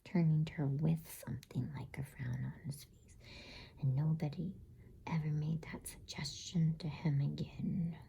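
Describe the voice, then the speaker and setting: A woman reading a bedtime story in ASMR style, in a soft, hushed, breathy whisper. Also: low voice, steady tone. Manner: slow, gentle, hushed.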